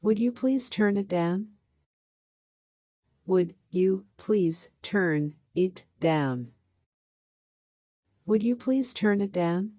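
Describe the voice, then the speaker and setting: Woman, language drill reader, very slow, dry close sound. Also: medium pitch, animated, dark tone, dry recording. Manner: repeats each sentence, once slowed down. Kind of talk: slow scripted sentence drills.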